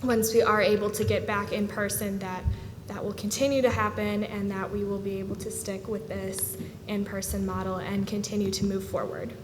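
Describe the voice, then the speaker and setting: A young woman in her late teens, the student association president, giving an earnest, steady report to a school board with frequent "um" pauses. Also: medium pitch. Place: school board meeting room. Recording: reverberant room with steady background noise.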